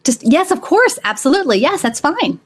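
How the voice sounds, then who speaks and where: A woman's voice: sits high, big pitch swings, a little fast. Encouraging woman giving live advice. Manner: encouraging, explanatory. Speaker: live advice show host. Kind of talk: conversational advice talk to live audience. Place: live online call-in broadcast.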